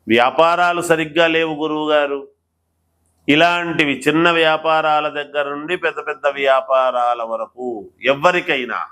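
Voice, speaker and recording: A man speaking as an astrologer, explaining remedies to viewers in a deliberate, instructional way and repeating phrases. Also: on the high side, fairly even intonation, sharp tone, smooth. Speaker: astrologer presenter.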